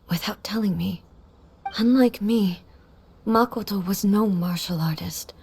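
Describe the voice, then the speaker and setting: A woman playing a solemn, grieving immortal ruler in a video game, speaking formally and softly with measured composure. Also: medium pitch, slightly rough. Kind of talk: dramatic video game voice acting.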